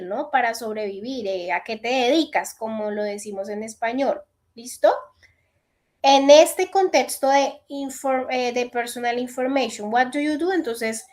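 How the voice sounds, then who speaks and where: High-voiced woman teaching English. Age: 30s. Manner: explains step by step, checks in with repeated "listo". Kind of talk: online class instruction. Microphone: computer mic on a video call.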